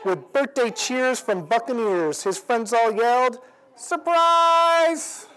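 A man in his forties reading a picture book aloud to children in an expressive, measured storytelling way. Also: very high voice, poor audio. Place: indoors, library event room. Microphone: lapel. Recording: clear background noise in room.

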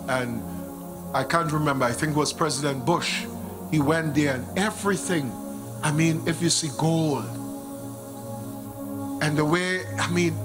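A middle-aged Vincentian preacher delivers an impassioned sermon from a church stage on a handheld mic. His voice sits a touch high and moves slowly and deliberately, dropping to a hush and then rising to exclamations. Soft music plays faintly behind him. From Saint Vincent and the Grenadines. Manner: impassioned, swings from hushed to exclaiming. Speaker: pastor. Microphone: handheld microphone.

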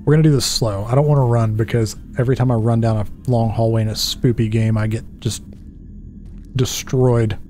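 Casual guy in his 30s narrating a spooky game, mellow voice, soft music behind, self-mocking and jumpy. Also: fairly even intonation, fairly quick. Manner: chatty, self-mocking, jumpy pitch swings. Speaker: gaming channel host. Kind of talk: horror game let's play commentary. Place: small dark room at a desk. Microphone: headset with desk mic.

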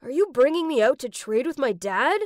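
A woman's voice: high voice, animated, moderate pace, no echo, clean studio sound. A woman voicing a wounded, proud, rebellious teenage boy in an accusing, dramatic manner for a story. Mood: hurt, accusing.

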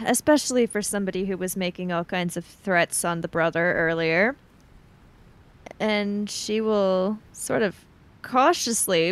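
A woman playing a tabletop role-playing game, narrating her character's actions in a hesitant, halting way. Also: mid-range voice.

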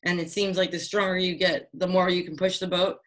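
Young adult woman, slightly low voice, casual and encouraging, video-call sound. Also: steady tone, fairly quick. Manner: upbeat, chatty, encouraging. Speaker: Olympic sailor answering youth questions. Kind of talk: casual online Q&A.

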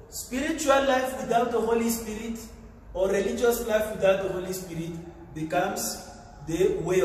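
Man, priest, homily, high voice, unhurried, echoing hall, distant mic. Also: a little mellow, a little hiss or hum. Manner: slow, deliberate preaching with frequent pauses and fillers. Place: large tiled chapel, indoors. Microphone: far camera. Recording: echoey chapel from far off.

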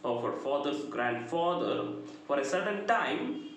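A middle-aged man with an Indian accent giving a literature lecture, deliberate and emphatic, phrase by phrase. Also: mid-range voice, lively intonation, fairly bright tone. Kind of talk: lecture summarizing a play. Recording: very echoey room, faint background noise.

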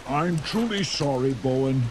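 An actor voicing a wise, gentle male dragon who talks in a mildly teasing, chuckling way. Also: mid-range voice, expressive, measured pace, noisy background, natural voice. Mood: apologetic. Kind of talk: film acting.

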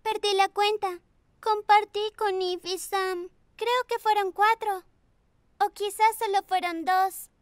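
A performer voicing a sweet, eager preschool girl with a bright childlike delivery in an animated children's show. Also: very high voice, takes it slowly, no room sound, studio quality. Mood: uneasy. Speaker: cartoon lead character.

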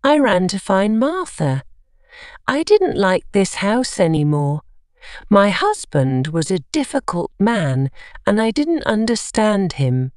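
A woman reading a scripted story for English learners, carefully and with clear enunciation. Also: medium pitch, very animated, slow, no echo, clean studio sound. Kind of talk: scripted audiobook narration.

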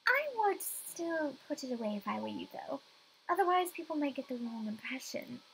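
A woman's voice: high voice, sing-song, moderate pace, gravelly, slight background noise, full character voice, rough recording. Woman as a poised, condescending rich wife. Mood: sugary. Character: poised, sugary, possessive wealthy wife, Ma'am Hannah.

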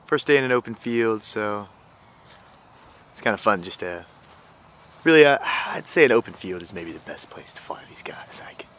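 A man's voice: on the low side, animated, normal speed, long pauses, a bit bright. A man giving casual, off-the-cuff commentary while filming outdoors in an open field. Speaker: camera operator narrating a drone test flight. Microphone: handheld camera mic. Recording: noisy outdoor camera audio.

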